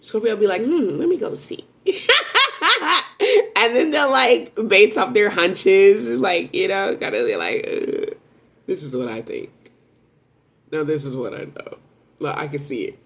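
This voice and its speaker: Rambling animated woman reading tarot. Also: measured pace, pauses often. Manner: rambling, animated, with sudden high exclamations; self-described strong voice. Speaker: tarot reader. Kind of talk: casual spoken tarot reading.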